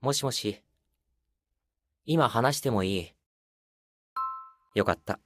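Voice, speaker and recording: A man's voice: mid-range voice, unhurried, fairly bright tone, soft background music, lightly acted. A male actor playing an aloof, secretly lonely young man in an intimate, casual game voice drama. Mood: coy, intimate. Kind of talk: intimate phone-call game voice acting.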